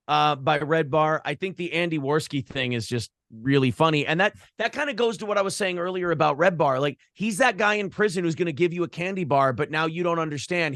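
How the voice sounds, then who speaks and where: Man, slightly high voice, dry close sound. Blunt, opinionated podcast guest, casual and sweary. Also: fairly bright tone, no room sound. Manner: blunt, profane. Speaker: podcast host being interviewed.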